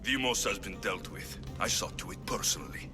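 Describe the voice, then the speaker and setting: A man's voice: fairly low pitch, moderate pace, over music, lightly acted. A male actor playing a confident, blunt mercenary hero in a video game cutscene, firm and matter-of-fact. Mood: stern.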